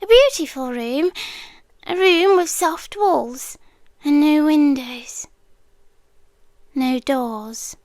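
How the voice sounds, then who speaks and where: A woman's voice: sits high, no echo. A British woman playing a centuries-old possessive monster lover in an ASMR roleplay, coaxing and sweetly menacing, often slipping into a whisper. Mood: quietly threatening. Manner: slow, hushed, coaxing, often drops into whispers.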